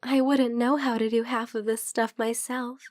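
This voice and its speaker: A woman playing a gentle, teasing female vampire friend who is unwell, speaking softly and hesitantly in an ASMR roleplay. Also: fairly high pitch, flat delivery, normal speed, no echo, studio quality, close to an everyday voice. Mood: warm, thankful. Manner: soft, hesitant, playfully teasing. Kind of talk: soft-spoken ASMR roleplay.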